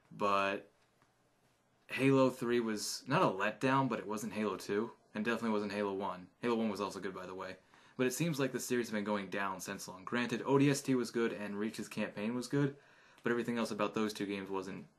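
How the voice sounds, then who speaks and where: Man, lowish voice, rambling gamer vlog, chatty, lots of likes and ums. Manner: opinionated and offhand. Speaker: gaming YouTuber. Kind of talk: casual opinion vlog.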